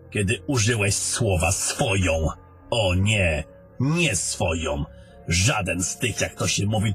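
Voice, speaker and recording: Guy doing old wizard mentor for a fantasy audio play, low pitched, grumpy n sardonic, bit of music under it. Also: a bit bright. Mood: sardonic, grumbling. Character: old haughty sardonic male wizard, gruff mentor to a soldier. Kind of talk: fantasy audio drama acting.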